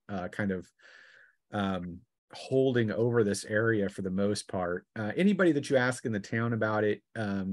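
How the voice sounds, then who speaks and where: A man working as game master, narrating a tabletop fantasy session in a halting way, thinking aloud as he goes. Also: fairly low pitch, dry recording, clean studio sound.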